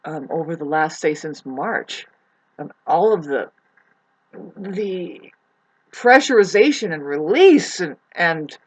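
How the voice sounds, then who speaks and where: Husky-voiced woman, rambling and halting. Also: low-pitched, animated, rough recording. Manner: meandering, halting, trailing off mid-thought. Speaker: vlogger. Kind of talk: rambling spoken monologue, casual chat.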